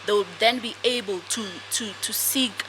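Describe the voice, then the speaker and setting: A young woman from South Africa, a guest author answering interview questions in a soft, hesitant way with many fillers. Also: fairly high pitch, steady tone, moderate pace. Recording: noisy podcast recording.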